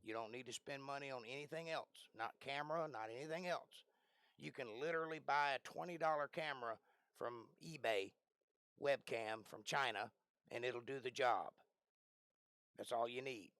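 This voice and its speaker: Raspy, gravelly-voiced man chatting on a livestream. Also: medium pitch, moderate pace, a bit bright, dry recording, clean studio sound. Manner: clears throat often, rambling and chatty. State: losing his voice. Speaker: livestream host. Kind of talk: casual live-stream chat. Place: small bedroom. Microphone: close desk microphone.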